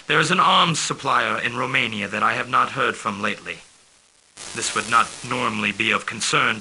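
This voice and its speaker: An actor playing a calm, formal male mercenary liaison who briefs a commander on missions in a video game. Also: mid-range voice, exaggerated voice, poor audio. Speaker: mission handler.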